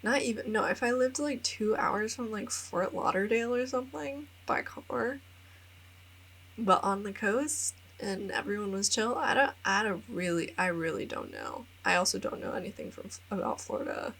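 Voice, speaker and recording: A woman's voice: mid-range voice, sing-song, normal speed, vocal fry, a bit bright, husky. A young American woman in her twenties talking casually in a vlog voice-over. She rambles a little, says "like" often, laughs at herself and sounds upbeat and self-deprecating. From United States. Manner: chatty, rambling, many filler likes, laughs at herself. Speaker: vlogger and English teacher in Japan.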